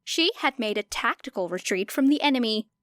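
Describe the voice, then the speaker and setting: A woman's voice: fairly high pitch, lively intonation, brisk, a bit bright, dry recording, studio quality. A woman narrating a scripted fiction audiobook.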